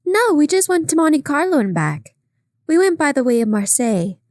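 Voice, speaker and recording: A woman doing a gossipy young woman, high and bright. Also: sing-song, moderate pace, no room sound, clean studio sound. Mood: chattering. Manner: breathless, gossipy, confiding.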